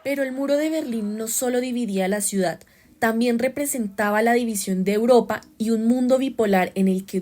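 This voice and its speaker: A woman's voice: fairly high pitch, noisy background, close to an everyday voice. A woman playing a solemn radio news reporter, reading a scripted bulletin in a formal, declarative manner. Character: solemn female radio news reporter announcing historic events.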